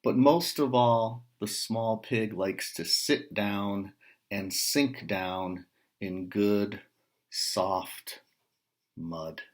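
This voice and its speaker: Slow, low-voiced man reading a storybook. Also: steady tone. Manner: unhurried, pausing between short phrases, asking listeners questions. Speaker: writer reading a picture book aloud. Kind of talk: read-aloud storytelling with casual asides to young listeners.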